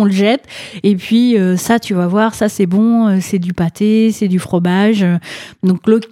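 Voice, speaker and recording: French woman host, mellow dry voice. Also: mid-range voice, no echo. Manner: hesitant, many fillers. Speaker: film show host. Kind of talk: casual film review chat.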